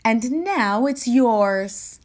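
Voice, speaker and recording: Woman as a slow-speaking storybook mother. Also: on the high side. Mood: tender. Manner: deliberate, motherly. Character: firm but tender, reassuring mother.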